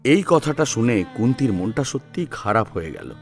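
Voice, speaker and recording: A man narrating a scripted story in an expressive, dramatic storytelling manner. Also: medium pitch, normal speed, soft background music. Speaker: narrator and co-presenter.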